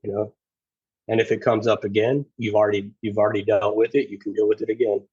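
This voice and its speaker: A man with a lowish, husky voice speaks in a flat, even line, earnest and halting as he restarts his thoughts. The sound is dry and close but dull and lo-fi, as if the recording is muffled. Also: no room sound. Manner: earnest, halting, many restarts and "you know"s. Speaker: podcast guest, former federal officer and navy corpsman. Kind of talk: casual conversation.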